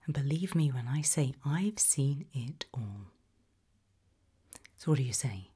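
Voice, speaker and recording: A woman acting as an eerie British female researcher in a creepy ASMR roleplay. Her low-pitched, very breathy whisper is slow and deliberate, probing with quiet menace. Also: animated, exaggerated voice. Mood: quietly menacing.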